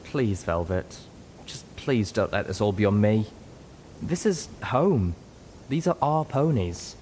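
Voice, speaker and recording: A man voicing a young female unicorn who pleads and shouts on the edge of tears, in an audiobook. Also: animated, takes it slowly, slight background noise. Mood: pleading. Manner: shrill, pleading, close to tears.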